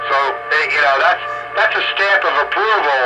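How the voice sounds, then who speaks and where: A man's voice: a little high, moderate pace, over loud music. A man with a folksy, ribbing manner, chatting casually over two-way radio as an operator. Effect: two-way radio transmission. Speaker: radio operator fielding breakers. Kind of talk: casual CB radio chat with teasing. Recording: noisy CB radio transmission.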